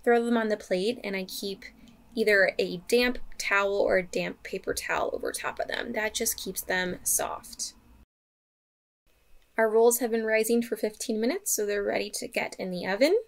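A woman's voice: medium pitch, fairly even intonation, normal speed, vocal fry. A woman in her thirties who runs a homesteading channel, talking viewers through her bread recipes step by step in a friendly, instructional way. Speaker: homesteading vlogger. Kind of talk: cooking tutorial narration. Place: home kitchen, indoors.

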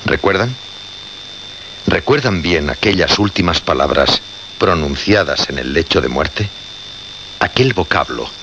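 An actor with a Castilian Spanish accent playing an obsessed, unnerved radio presenter in a suspense drama, solemn and insistent. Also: male voice, extremely low pitch, creaky, bright, forceful tone, exaggerated voice. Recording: muffled, noisy radio drama recording with hum.

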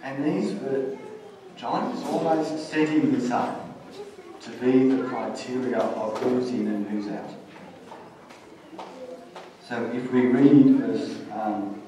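The sound is distant and heavily reverberant, a church hall with clear audience noise. A middle-aged man preaches at the lectern, moving between scripture reading and measured, plain-spoken explanation. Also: mid-range voice. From Australia. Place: church stage, indoors. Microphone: stand mic at lectern, heard from far camera.

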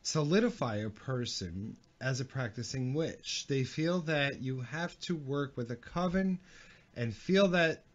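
A man's voice: medium pitch, animated, measured pace. A man hosting a podcast who rambles and repeats himself while reading viewer questions. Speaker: podcast host. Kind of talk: casual chat, reading viewer comments. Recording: dull lo-fi stream audio.

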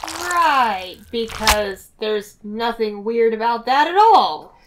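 A woman's voice: on the high side, expressive, slow pace. A woman chatting casually as a tabletop game player, with a dry, wry manner. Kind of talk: casual chat. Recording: clearly noisy, with clicks.

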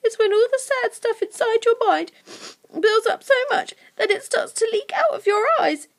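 A woman's voice: extremely high pitch, normal speed, voice pushed up. A woman voicing an earnest, emotional, plucky young girl in a storybook. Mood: tearful, explaining.

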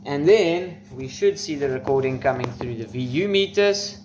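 A man in his twenties gives an informal how-to in a slightly high voice. He is offhand and wry, dropping in dry asides. He speaks into a camera's built-in microphone in a room at home. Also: lively intonation, moderate pace, noisy background. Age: 20s. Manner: informal, wry joking asides and mild swearing. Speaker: tutorial presenter. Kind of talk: casual how-to demonstration. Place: small room at home, indoors. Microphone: camera's built-in microphone at varying distance.

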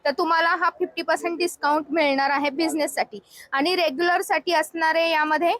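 A woman's voice: very high voice, steady tone, a little fast, a bit bright, no room sound. A woman hosting a casual shopping vlog, chattily explaining things to viewers inside a shop. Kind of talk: casual shop tour presentation.

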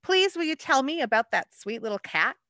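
A woman's voice: high voice, very animated, fairly quick, bright, forceful tone, no room sound, studio quality, pitched above the performer's own voice, full character voice. A woman voicing a curious, eager young woman asking about things in a shop.

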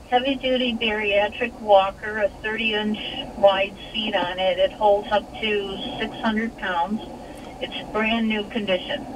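A woman phoning a radio show, listing items for sale in a measured, polite way. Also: mid-range voice. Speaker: caller. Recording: noisy phone call heard over radio.

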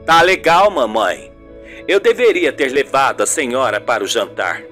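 A man's voice: medium pitch, expressive, moderate pace, a bit bright, soft background music, voice pushed down, natural voice. A man playing a defensive, exasperated young journalist son in an amateur radio soap. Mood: placating.

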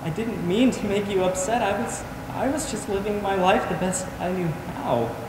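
An actor on a theatre stage playing a sick, humble young man praying earnestly and haltingly for forgiveness. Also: high-pitched, lightly acted. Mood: remorseful pleading. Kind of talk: stage play acting. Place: large theatre stage, recorded from the audience. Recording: very echoey theatre, distant, noisy.

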